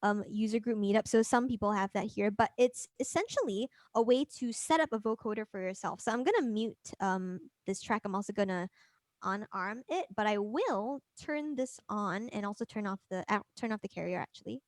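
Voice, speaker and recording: A woman presenting a music production workshop as an informal software demonstration, chatting and thinking aloud as she works. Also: medium pitch, normal speed, no room sound, studio quality.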